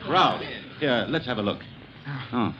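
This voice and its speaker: A man playing a brisk, authoritative doctor in a 1940s-style radio drama. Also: medium pitch, animated, close to an everyday voice. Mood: grave, clinical. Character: brisk, authoritative male doctor examining a victim. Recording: muffled vintage radio broadcast with crowd.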